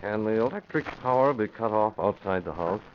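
A man's voice: lowish voice, lively intonation, a little fast, lightly acted. A man playing a resolute, commanding American masked crime fighter in a classic radio drama, speaking tersely. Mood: terse, probing. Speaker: lead actor in a radio drama. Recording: muffled old radio, faint background noise.

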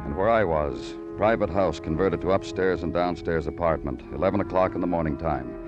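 Actor as a brooding low-voiced radio noir detective. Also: male voice, fairly quick, highs cut off, natural voice. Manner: clipped, brooding, lyrical narration. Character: world-weary, poetic police homicide detective narrating his case. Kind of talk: 1940s-50s radio noir acting. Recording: old radio recording with music.

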